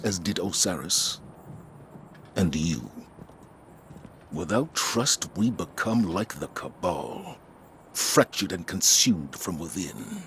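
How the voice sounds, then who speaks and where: A man voicing a grave, burdened older military commander in dramatic game cutscenes, speaking in a solemn, measured, formal way. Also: low-pitched, a bit bright, a little hiss or hum, exaggerated voice. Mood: solemn, weary.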